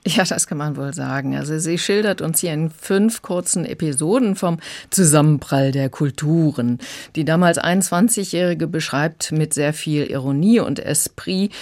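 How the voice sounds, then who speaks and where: A woman's voice: low-pitched, normal speed, a little mellow. A woman working as an audiobook critic, explaining emphatically in a radio review conversation. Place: radio studio.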